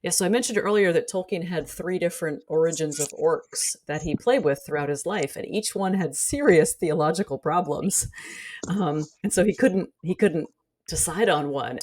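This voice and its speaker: A woman's voice: low voice, normal speed, darkish tone. A woman speaking as a guest in a casual panel discussion, analytical and articulate like a scholar explaining her field. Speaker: podcast guest, literature scholar.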